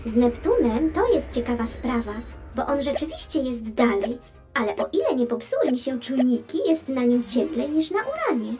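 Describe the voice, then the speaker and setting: A talkative space probe in a children's radio play. She is high-pitched and very animated, explaining with curiosity and a wry, teasing edge. Faint music plays behind her. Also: moderate pace. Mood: eager, explaining. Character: talking female space probe, chatty, sardonic, curious explorer.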